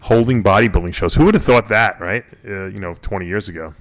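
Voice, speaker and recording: A man's voice: lowish voice, fast talker. A man hosting a casual radio talk show, rambling and joking with plenty of fillers. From Florida. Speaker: host.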